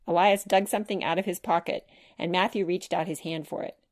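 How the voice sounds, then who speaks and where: A woman's voice: fairly low pitch, steady tone, a little fast. A woman reading a children's chapter book aloud as scripted storybook narration.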